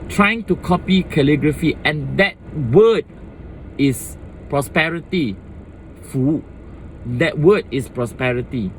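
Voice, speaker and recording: A man's voice: medium pitch, a little hiss or hum, rough recording. A young Malaysian man with a Malaysian accent, talking to the camera in a fired-up casual rant and mixing in Malay words. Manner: impassioned, switching between English and Malay. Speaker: vlogger.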